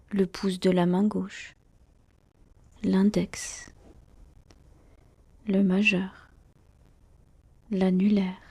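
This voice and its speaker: A woman guiding a relaxation meditation in a soft, breathy near-whisper, calmly and soothingly, in short phrases with long pauses. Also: medium pitch. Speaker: yoga nidra guide.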